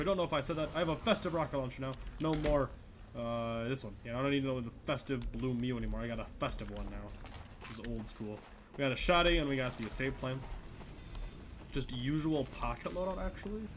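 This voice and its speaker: A man's voice: medium pitch, slight background noise. Nasal, congested young man chatting. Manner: rambling, chatty, self-deprecating. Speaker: gameplay commentator. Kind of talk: casual gameplay commentary.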